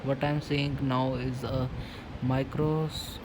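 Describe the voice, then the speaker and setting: A man reading video game dialogue aloud, haltingly, stumbling over and repeating words. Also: medium pitch, flat delivery. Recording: clearly noisy background.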